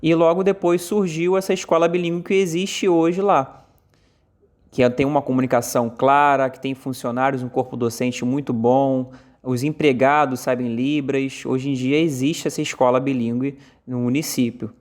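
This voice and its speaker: A Brazilian man interpreting a deaf speaker's talk aloud in first person, in short halting phrases with frequent fillers. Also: mid-range voice, little pitch movement, a little mellow. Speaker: sign language voice interpreter.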